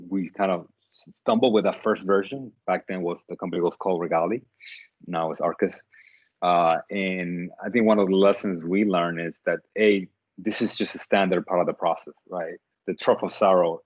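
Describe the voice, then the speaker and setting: A man's voice: sits low, no room sound, lo-fi sound. A man in his thirties from the Dominican Republic, a startup CEO answering interview questions thoughtfully, with many fillers and restarts.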